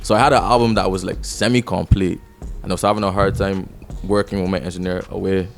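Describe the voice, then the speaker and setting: A man's voice: low-pitched, little pitch movement, fast, gravelly, faint music behind. A young man, a rapper talking casually and reflectively as a podcast guest. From Barbados. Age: 20s. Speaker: rapper and songwriter interviewed as guest.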